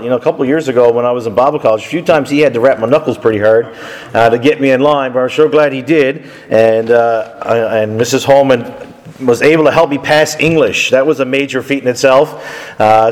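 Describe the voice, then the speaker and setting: A man in his thirties, hoarse after a camp week, opening a sermon as guest preacher in a friendly, chatty way. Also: mid-range voice. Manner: friendly, chatty, with frequent fillers. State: hoarse, deeper than usual. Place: church sanctuary. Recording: church hall reverb.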